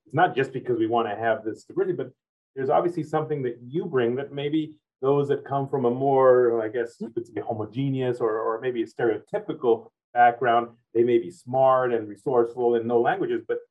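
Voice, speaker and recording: A man's voice: mid-range voice, distant mic. A man hosting an interview, asking long, rambling questions that loop back on themselves. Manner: rambling, loops back on his phrasing. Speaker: interviewer host. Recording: tinny phone-like sound, off-mic.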